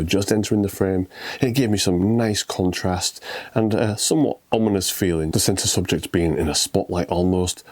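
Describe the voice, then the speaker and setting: A middle-aged man with a cold, sounding stuffy and croaky as he talks through his photos in a relaxed, conversational way. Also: sits low. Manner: relaxed, conversational, a few fillers. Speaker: photography video host.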